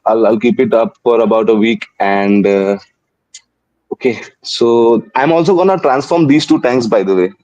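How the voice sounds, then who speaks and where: A man's voice: mid-range voice, normal speed, a little mellow, dry recording. A man calling into a live stream over video, talking hesitantly with restarts and nervous laughs. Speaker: caller. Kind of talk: casual video call chat. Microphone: video call. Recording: dull lo-fi video call audio.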